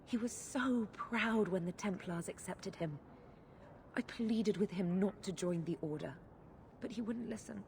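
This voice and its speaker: A woman's voice: mid-range voice, measured pace, vocal fry, darkish tone, a little hiss or hum. An actress playing a worried young woman pleading for help with her brother. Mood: anxious. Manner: anxious, pleading.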